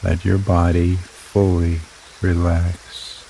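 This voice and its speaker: A man guiding a relaxation meditation in slow, soothing, drawn-out phrases. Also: low-pitched, little pitch movement, vocal fry, mellow, a little hiss or hum, rough recording. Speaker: meditation guide. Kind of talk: guided meditation narration.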